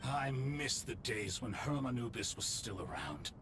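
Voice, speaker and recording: An actor playing a wry, mocking saint on a royal council in a video game, lofty and sardonic. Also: male voice, mid-range voice, lively intonation, unhurried, over music, close to an everyday voice. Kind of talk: theatrical video game acting. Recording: clear game sound behind voice.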